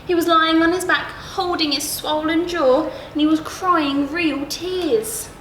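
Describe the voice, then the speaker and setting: High-voiced woman reading a bedtime story. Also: fairly even intonation, measured pace, a bit bright. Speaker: story reader at a school. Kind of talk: expressive children's bedtime story narration. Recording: roomy echo, clear background noise.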